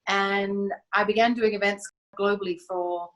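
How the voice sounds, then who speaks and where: Aussie woman talking about her work in an interview, calm and thoughtful, trips over a word now and then. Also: mid-range voice, low-quality recording. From Australia. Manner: measured and reflective, with occasional restarts. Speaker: guest, event company founder. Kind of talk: interview answers.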